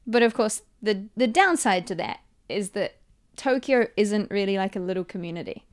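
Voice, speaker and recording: A young woman with a New Zealand accent chatting casually as a podcast guest, telling self-deprecating anecdotes in an animated way. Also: medium pitch, normal speed. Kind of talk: casual chat.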